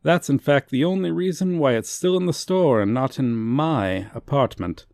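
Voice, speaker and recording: A man's voice: medium pitch, normal speed, creaky, a little mellow, no echo, clean studio sound, lightly acted. A man playing a chatty, cheerful shop clerk who gives polite, casual sales patter with playful asides in an ASMR roleplay. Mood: pleased, helpful.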